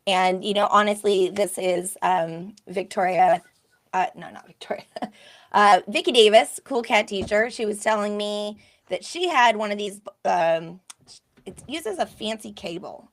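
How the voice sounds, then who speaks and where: A woman with a gravelly voice co-hosting a podcast, chatting in a lively, talkative way. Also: medium pitch, normal speed. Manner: chatty, animated, self-described extrovert. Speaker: podcast co-host. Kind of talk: casual chat.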